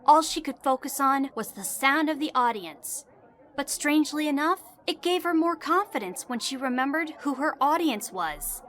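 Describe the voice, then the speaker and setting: A woman reading scripted storybook narration for an audio drama in an expressive, dramatic storytelling manner. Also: high-pitched, moderate pace. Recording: music behind the voice.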